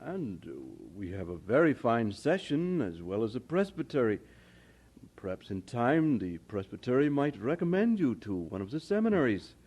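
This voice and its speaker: A kindly older pastor in an audio drama. His medium-pitched voice is measured and genial. He sounds like an encouraging, fatherly mentor. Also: very animated, darkish tone.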